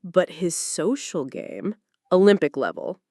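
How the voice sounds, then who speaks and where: A woman's voice: lowish voice, lively intonation, a little slow, warm tone, no room sound, studio quality. A woman reading a first-person story as a dramatic narrator, in a conversational, confiding manner.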